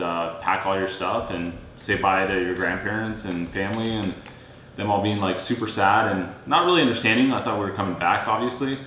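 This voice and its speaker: A man in his thirties from Serbia, co-hosting a casual chat in a relaxed, rambling way. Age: 30s. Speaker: co-host. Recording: very echoey room, faint rumble.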